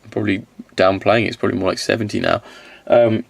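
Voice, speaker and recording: A man with a slight cold-hoarse voice, casually reviewing miniatures, rambling and correcting himself as he goes. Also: on the low side, fairly even intonation, quick pace. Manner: rambling, self-correcting, counts aloud.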